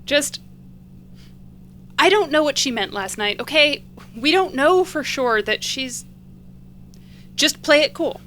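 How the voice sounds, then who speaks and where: An anxious, earnest young woman in an audio drama. Her voice is high and bright, with wry, halting, informal delivery. There is faint noise behind her. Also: animated, exaggerated voice. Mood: teasing, nervous.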